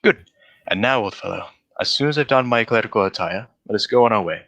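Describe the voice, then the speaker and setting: An actor playing a brisk, self-assured gentleman detective in an old-style radio drama, with precise, formal diction. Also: lowish voice, gravelly. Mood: brisk, commanding. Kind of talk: 1940s radio drama acting.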